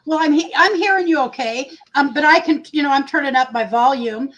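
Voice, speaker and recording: Lady with a high big voice, chatty livestream host, kinda rambly. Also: a bit bright, dull audio. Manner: rambling, self-correcting, many pauses. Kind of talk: casual livestream chat.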